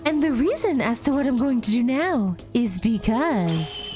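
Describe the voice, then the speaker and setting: A woman voicing a mischievous, gleefully cruel male bear mascot, with a teasing, drawn-out delivery as she reads a game's dialogue aloud. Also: a little high, expressive, darkish tone, faint music behind. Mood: gleeful taunting.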